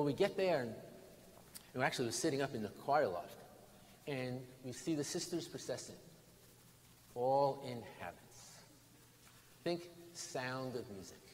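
An older American man, a bishop, preaching a sermon in deliberate, emphatic phrases with pauses and repetition. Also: mid-range voice, darkish tone. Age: 60s or older. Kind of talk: sermon with storytelling. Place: large stone church interior, at lectern. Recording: reverberant church hall, background noise.

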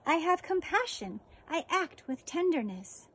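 An American woman in her forties, a teacher reading a children's picture book aloud outdoors with deliberate, expressive phrasing. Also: very high voice. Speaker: elementary school teacher reading a story. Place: outdoors on a residential deck.

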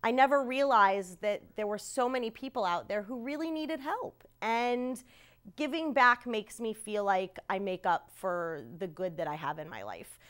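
Middle-aged woman, from the Midwest, earnest testimonial, medium pitch, studio. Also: moderate pace. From American Midwest. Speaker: volunteer.

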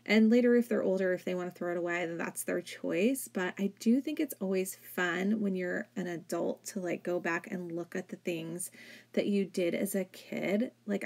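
A woman's voice: on the low side, vocal fry, darkish tone. A woman in her thirties, a home vlogger, narrating casually over her cleaning footage, chatty and full of "like". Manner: chatty, filler-heavy with frequent "like". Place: home, indoors.

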